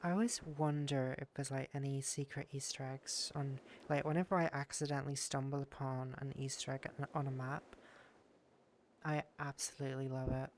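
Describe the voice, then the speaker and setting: Stuffy-voiced man streaming, flat and gravelly. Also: medium pitch, moderate pace, a little hiss or hum. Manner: rambling, thanks viewers, muses aloud. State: stuffy with a cold. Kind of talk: casual streaming chat while exploring a game world.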